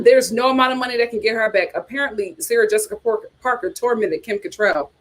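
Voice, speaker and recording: A woman hosting a casual reality TV chat, reading viewer comments aloud with dramatic exclamations. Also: on the high side.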